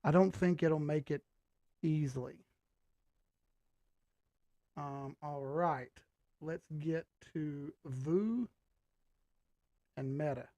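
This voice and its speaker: A man's voice: on the high side, very slow, pauses often, dark tone, no echo. A man hosting a live stream, giving casual commentary and thinking aloud in slangy, informal language. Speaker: host of a live market analysis stream.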